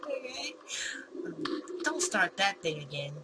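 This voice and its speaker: A woman reads a visual novel aloud for a let's play. Her pace is unhurried and her pitch sits in the middle, with giggly, bemused asides. There is clear noise behind her voice. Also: big pitch swings. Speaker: gaming YouTuber.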